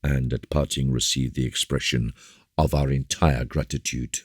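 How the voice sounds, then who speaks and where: A man voicing a solemn, commanding bishop who speaks with grave, measured courtesy in an audiobook. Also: extremely low pitch, steady tone, breathy edge, dry recording, clean studio sound. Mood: courteous. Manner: grave, measured rhetoric with repeated appeals. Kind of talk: audiobook character dialogue.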